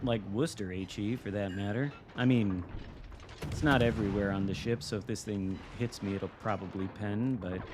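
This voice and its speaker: A man's voice: fairly low pitch, moderate pace, background noise. A man with a Michigan American accent giving casual, dry, sometimes profane live commentary as he streams a video game. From United States. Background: video game artillery and gunfire.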